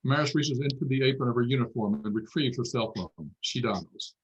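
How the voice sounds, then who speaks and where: A man serves as narrator at a screenplay table read. He reads out stage directions in a matter-of-fact way, with a medium-pitched voice. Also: a little fast, low-quality recording.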